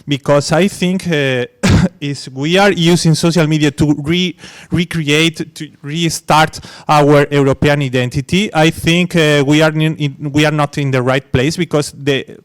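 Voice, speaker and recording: A man's voice: fairly high pitch, steady tone, measured pace, hardly any pauses, clear, resonant tone. A man from Spain, an English speaker, talking on a conference panel with many fillers and restarts. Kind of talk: academic panel discussion.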